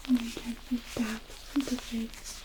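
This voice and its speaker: Woman playing a whispering, slow, gentle fairy healer. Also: fairly high pitch, little pitch movement, noisy background. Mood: gentle, soothing. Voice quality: soft, breathy whisper. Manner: soothing, unhurried, attentive. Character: gentle caring female fairy healer tending a patient. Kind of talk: whispered fantasy ASMR roleplay.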